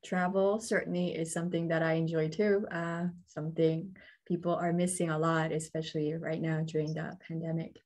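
A young woman interviewing a guest on a video call, asking questions politely and affirming softly as she listens. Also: deep voice, level tone, moderate pace. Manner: polite, with soft backchannel affirmations.

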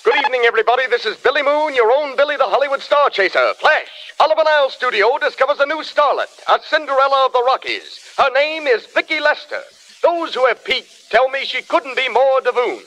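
Shrill, high-pitched radio gossip man. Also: lively intonation, pushed and bright, heavily acted, poor audio. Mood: excited. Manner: rapid-fire showbiz hype. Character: punchy, gushing male Hollywood radio gossip reporter. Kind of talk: 1930s radio newsflash announcing. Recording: vintage radio broadcast sound.

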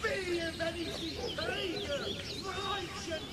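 An actor playing a crazed, menacing man in a children's audio drama, ranting in drawn-out shouts. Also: very high voice, steady tone, recorded from a distance, very noisy, heavily acted. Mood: angry, threatening. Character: crazed, menacing man guarding his spooky house.

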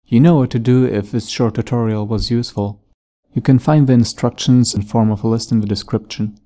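A man's voice: mid-range voice, steady tone, a little fast, mellow. A man giving scripted tutorial narration as the presenter of a how-to.

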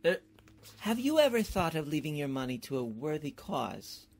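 Husky, effusive bumbling male professor as acted. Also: medium pitch, big pitch swings, moderate pace, a little mellow. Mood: flattering. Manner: theatrical, effusive, hesitant fillers. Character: eager, flattering, bumbling male college professor. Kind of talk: old-time radio comedy acting.

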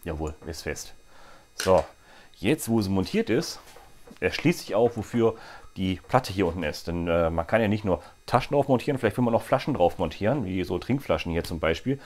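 A man's voice: sits low, expressive, moderate pace, darkish tone, a little hiss or hum. A man in his forties chatting casually as he fits parts to a bike in a small workshop, with jokey asides. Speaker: bike gear vlogger. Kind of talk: hands-on product demo.

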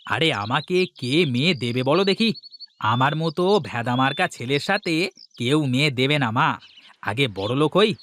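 A man's voice: medium pitch, lively intonation, normal speed, a bit bright, a little hiss or hum, close to an everyday voice. A man voicing a lazy, easily led young village man who dodges his mother's nagging. He talks in a sulky, evasive way in a cartoon. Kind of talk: cartoon voice acting.

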